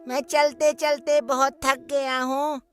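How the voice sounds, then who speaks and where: A tired, hungry little boy, as a woman puts him on in a cartoon, with a high, bright, flat voice that drags slowly and wearily. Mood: weary.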